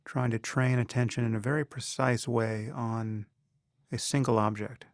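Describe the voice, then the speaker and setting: A man leading a guided meditation as a teacher, in a measured, instructional manner with pauses between phrases. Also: medium pitch, fairly even intonation, no echo, studio quality.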